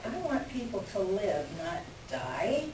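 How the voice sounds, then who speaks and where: A woman's voice: mid-range voice, sing-song, measured pace, a little mellow. Lady playing fed-up sardonic assistant in a stage reading, mid voice, distant mic, echoey. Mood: exasperated. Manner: sardonic, blunt. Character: blunt, exasperated woman assistant with Spanish-speaking family. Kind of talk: staged readers' theater acting. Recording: echoey room, distant mic, noisy background.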